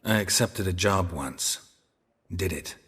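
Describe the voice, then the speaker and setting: A stoic game monster hunter with an extremely low, heavily rasping voice. He is weary and guarded, speaking in short clipped sentences with little display of feeling. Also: takes it slowly, a bit bright, exaggerated voice. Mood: somber, reflective. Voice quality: gravelly, husky. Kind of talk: restrained video game dialogue.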